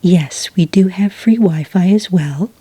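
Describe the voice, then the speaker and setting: Breathy, whispering low-voiced woman. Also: no echo. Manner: gentle, unhurried, chatty, slightly hesitant. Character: friendly, obliging witch serving at a quaint cafe. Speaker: ASMR roleplay host playing a cafe witch. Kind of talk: soft-spoken whispered ASMR customer-service roleplay. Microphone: close, dry microphone.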